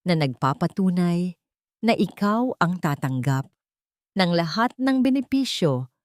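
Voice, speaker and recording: Woman reading a composed rich young man; low, measured, quiet. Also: expressive, no room sound, clean studio sound. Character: calm wealthy young man, hiding anger.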